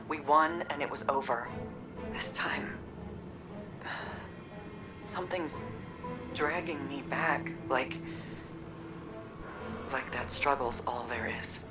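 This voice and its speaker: An actress playing a battle-weary, resilient woman soldier in a video game, speaking plainly and reflectively with a wry edge. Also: rumbling low. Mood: troubled, confessional. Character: battle-weary, resilient female soldier, tough but tender. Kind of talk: dramatic video game cutscene acting. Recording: music or faint ambient noise behind.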